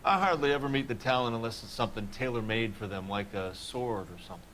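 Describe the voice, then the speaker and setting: An actor playing a curt, irritable prop maker being questioned in a video game. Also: male voice, medium pitch, quick pace, fairly bright tone, a little hiss or hum, lightly acted. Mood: defensive, irritated. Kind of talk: dramatic video game acting.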